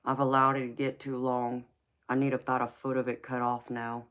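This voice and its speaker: A woman's voice: a bass voice, little pitch movement, normal speed, a bit bright. A woman in her forties, a tarot reader chatting casually with viewers on a live stream and answering their comments by name. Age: 40s.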